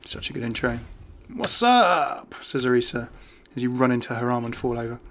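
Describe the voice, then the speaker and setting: A man hosts a gaming video with casual, chatty commentary. His mid-pitched voice has a jokey, conversational delivery. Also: very animated, moderate pace, a bit bright, slight background noise.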